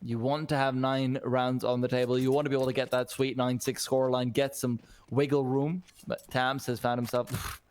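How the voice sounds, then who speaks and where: Energetic male esports caster, non-native English. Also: medium pitch, moderate pace. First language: not English. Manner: energetic, jokey, laughs often. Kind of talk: live play-by-play commentary.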